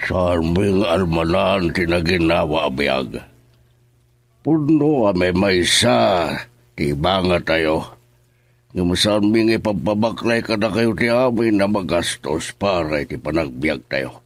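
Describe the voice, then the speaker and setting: Low, stern Ilocano father. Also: lively intonation, slow, dry recording, exaggerated voice. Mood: weary, burdened. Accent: Filipino, Ilocano. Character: stern, troubled father of the family. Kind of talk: Ilocano radio drama acting.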